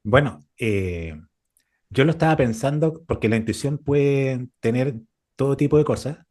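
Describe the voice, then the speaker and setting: Husky, mellow Chilean man. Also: mid-range voice, unhurried. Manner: explains with rhetorical questions and examples. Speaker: podcast co-host. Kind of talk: casual reflective conversation.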